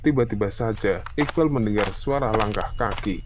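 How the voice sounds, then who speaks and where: A man's voice: fairly low pitch, normal speed, background noise. A man narrating a scripted horror story as narrator.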